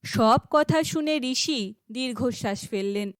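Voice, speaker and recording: A woman narrating a scripted fairytale for an animated children's story. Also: fairly high pitch, slow pace, no room sound.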